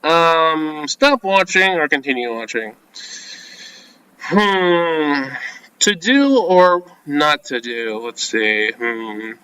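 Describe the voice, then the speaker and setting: A man's voice: on the high side, animated, very slow, bright, forceful tone, clean tone, no echo, poor audio. A man reading a visual novel game script aloud, mixing in informal asides and casual commentary as a let's-player. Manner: informal, reacts with asides between read lines.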